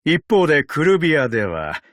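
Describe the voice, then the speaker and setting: A man voicing a courteous, ancient tin man agent in a video game, calm and sardonic, with a polite, measured, quietly teasing delivery. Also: fairly high pitch, no room sound, studio quality. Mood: calm, reflective. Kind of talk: Japanese video game character voice lines.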